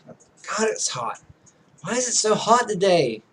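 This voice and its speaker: Casual male let's play host, slightly high voice, rambling and muttering between bits of reading. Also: sing-song, measured pace, fairly bright tone, slightly rough. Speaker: host of a gaming video. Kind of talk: casual let's play, reading visual novel text aloud.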